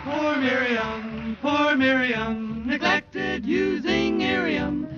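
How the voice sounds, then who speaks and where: A dull vintage radio recording with prominent music carries a female jingle singer. Her voice is high and slow, in 1940s commercial style.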